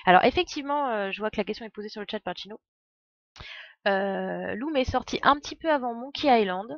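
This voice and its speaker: A woman's voice: mid-range voice, animated, fairly quick, no echo. Chatty woman streamer, dry muffled sound. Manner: chatty, playful, digressive asides. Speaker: game streamer. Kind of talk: casual live game commentary.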